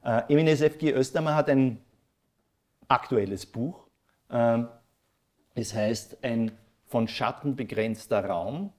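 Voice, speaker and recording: A man hosting a live literary talk, speaking in a halting, searching way. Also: medium pitch, a little mellow. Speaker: interview host. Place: living room of a former residence, indoors.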